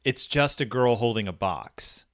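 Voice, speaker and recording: A man co-hosting a podcast and casually discussing a story, with halting phrasing and repeated words. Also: mid-range voice, lively intonation, no echo, clean studio sound. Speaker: podcast host.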